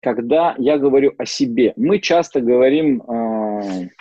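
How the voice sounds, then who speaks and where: A middle-aged man giving an informal lecture as a psychologist, mixing in personal stories and pausing often on fillers and restarts. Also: mid-range voice, dark tone, no echo. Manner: hesitant, many fillers and restarts. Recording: dull lo-fi dry sound.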